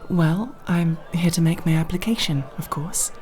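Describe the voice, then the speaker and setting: A woman's voice: on the low side, moderate pace, a little mellow, faint music behind, lightly acted. A woman playing a nervous but proud young elf thief in an ASMR roleplay, speaking in formal, wordy, hesitant phrases.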